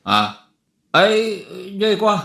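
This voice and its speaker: A man playing a flustered, excitable jeepney driver in an Ilocano comedy drama, stammering and exclaiming, with an Ilocano accent. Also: high-pitched, drawn-out words, gravelly, heavily acted. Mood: startled, defensive. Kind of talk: comedy radio-drama acting. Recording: roomy, echoey voice recording.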